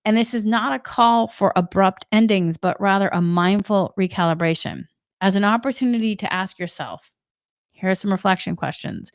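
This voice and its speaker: Woman with a Boston accent, middle-aged, smooth resonant voice, dry close sound, calm spiritual guidance. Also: mid-range voice, normal speed, dry recording. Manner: gentle, repetitive, reflective. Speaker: spiritual energy guide, Reiki practitioner.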